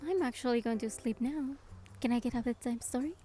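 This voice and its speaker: Actress as a mellow young game heroine. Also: female voice, fairly high pitch, brisk, faint music behind, close to an everyday voice. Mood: playful, evasive. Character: gentle young woman heroine narrating her secret surprise. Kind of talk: scripted game narration and dialogue.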